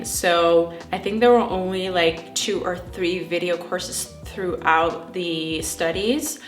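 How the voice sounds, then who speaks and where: A young woman from the Netherlands with a Dutch accent, chatting casually to camera and filling her sentences with "like" and "um.". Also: on the low side. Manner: chatty, frequent fillers like "like" and "um". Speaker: trichologist and YouTuber. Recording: echoey room with faint music.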